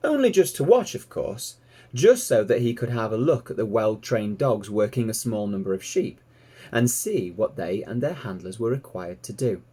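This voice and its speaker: A man narrating a children's story in an expressive read-aloud style. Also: fairly low pitch, normal speed, breathy edge. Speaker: storybook reader, teacher.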